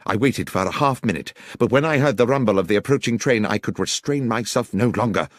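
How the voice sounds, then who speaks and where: A man's voice: lowish voice, a little fast, no echo, clean studio sound. A man reading a story aloud as dramatic, scripted audiobook narration.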